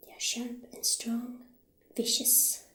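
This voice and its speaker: A woman playing a friendly witch shopkeeper in a soft-spoken ASMR roleplay, half-whispering gently with hesitant pauses. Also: on the high side, monotone, reverberant. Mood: hushed, eerie. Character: friendly witch shopkeeper showing off potion ingredients.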